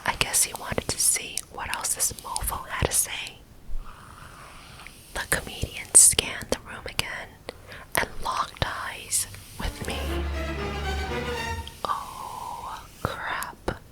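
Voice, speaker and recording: A woman telling a story in a soft, unvoiced ASMR whisper, close to the microphone. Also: takes it slowly, pauses often, rough recording.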